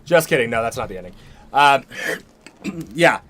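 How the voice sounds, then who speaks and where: A man with a tired, hoarse voice, worn down by a cold, chatting casually on a livestream. He rambles, fills pauses with ums and trails off before finishing thoughts. His pitch sits at an ordinary middle for a man. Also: lively intonation, fairly bright tone, soft background music. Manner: rambling, many ums and restarts. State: losing his voice, a little sick. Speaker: co-host of a livestream Q&A.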